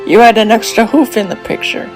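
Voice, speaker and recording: A woman's voice: a little high, fairly quick, over music, close to an everyday voice. A woman voicing a cool, protective pony sister in a cartoon fan dub, casual and matter-of-fact, with a wry edge.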